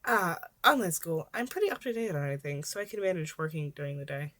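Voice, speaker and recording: A woman's voice: deep voice, animated, fast, fairly bright tone. A woman voicing a polite, anxious but cheerful teenage boy in a story, with a hesitant, chirpy manner.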